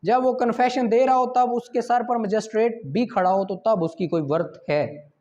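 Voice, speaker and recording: A man with a Pakistani accent lecturing law students, reading formal passages slowly and deliberately, then explaining them didactically. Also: extremely high pitch, fairly even intonation, a little mellow, highs cut off.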